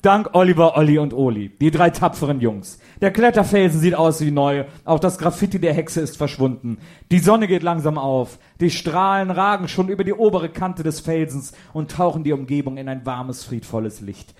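Slightly high-voiced man narrating a story. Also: normal speed, few pauses, darkish tone. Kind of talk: scripted story narration for a comedic audio drama.

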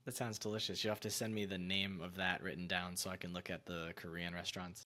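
A man hosting a podcast, musing in a relaxed, conversational way and often using fillers. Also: low-pitched, quick pace. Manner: reflective, musing, with loose fillers like "like" and "you know". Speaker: podcast host. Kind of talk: casual conversational chat.

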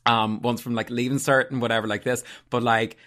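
A man's voice: medium pitch, little pitch movement, a little fast, fairly bright tone. A young man hosting a podcast, asking long, rambling questions full of fillers and laughing easily. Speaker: podcast host. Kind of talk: casual podcast interview.

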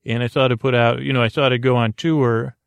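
A man hosting a bedtime podcast, rambling softly off on tangents in lulling, creaky, dulcet tones. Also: medium pitch, level tone, brisk, no room sound. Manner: meandering, tangent-prone, self-interrupting, drowsy and soft. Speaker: podcast host.

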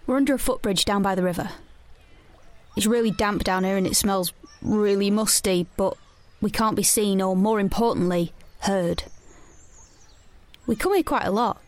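A woman's voice: medium pitch, normal speed, natural voice. An actress playing a guarded, conflicted teenage girl in an audio drama, earnest and defensive. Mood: confiding. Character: guarded, conflicted teenage girl protagonist narrating her story. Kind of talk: audio drama dialogue and first-person narration. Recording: background noise, outdoor ambience.

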